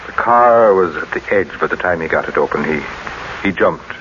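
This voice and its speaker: Give this one to a man acting a ruthless, jealous American husband in an old radio thriller. His voice sits low, with formal, sardonic menace. The recording is dull and hissy, clearly vintage. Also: moderate pace, close to an everyday voice. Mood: feigned grief. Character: ruthless, possessive, coldly jealous husband and killer.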